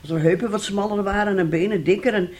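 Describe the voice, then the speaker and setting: Radio drama: actress as middle-aged wife of a failed artist, reproachful, sarcastic, theatrical, medium-pitched. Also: female voice, quick pace, a little mellow, close to an everyday voice. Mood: dry, catty. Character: bitter, nagging, mocking wife of a failed composer.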